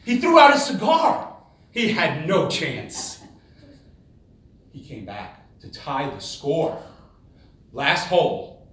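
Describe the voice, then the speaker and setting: A man giving a rehearsed, humorous storytelling speech in a theatrical manner, with dramatic pauses and exclamations. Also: on the high side, wildly swooping pitch, fairly bright tone. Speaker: contest speaker. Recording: very echoey room, distant microphone.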